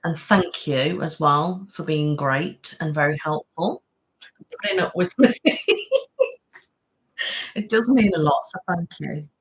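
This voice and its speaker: Woman, relaxed live-chat host who rambles and laughs a lot, fits an easygoing streamer read. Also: low-pitched, slow, pauses often, low-quality recording. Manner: chatty, rambling, laughs often, thanks viewers by name. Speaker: ASMR channel host. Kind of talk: casual live-stream chat, reading comments.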